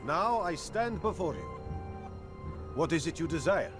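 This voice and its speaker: A taunting slave trader. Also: male voice, a little high, lively intonation. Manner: taunting, slow and theatrical. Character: scheming male slave trader, mocking and cold. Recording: game cutscene over loud music score.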